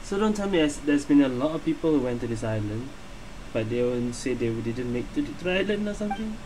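A man giving casual gameplay commentary, thinking aloud in a halting way. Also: mid-range voice, animated, background noise. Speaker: gamer, video creator. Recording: loud game music behind.

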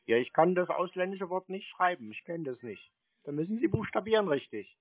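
A man's voice: sits high, expressive, a little fast, breathy edge, no echo. A man on a phone call who acts obtuse and confused, asking question after question. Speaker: scam-baiter playing a called customer. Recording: narrowband phone line.

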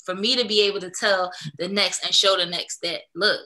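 A young woman from Philadelphia, a singer, telling her life story in a relaxed interview over a video call, with fillers and easy laughs. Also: medium pitch, normal speed. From Philadelphia, USA. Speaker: singer, guest. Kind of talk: casual interview. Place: small indoor room.

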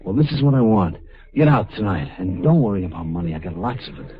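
A man's voice: low-pitched, animated, quick pace. A man playing a desperate, drunken, bullying man on the run in a 1950s radio drama, agitated and slangy. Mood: urgent, pleading. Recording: muffled old radio recording, music behind.

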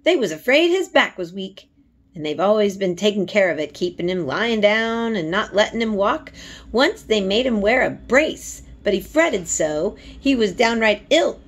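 A woman voicing an anxious, excitable young Yorkshire housemaid from a storybook, exclaiming in dialect. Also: medium pitch, normal speed, lightly acted. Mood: gossiping. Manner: agitated dialect speech, exclaiming.

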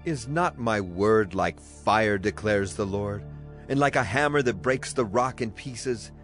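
A man's voice: fairly low pitch, unhurried, fairly bright tone. A man gives a solemn, declamatory dramatized reading of scripture as a Bible narrator. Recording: clear music behind the voice. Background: music.

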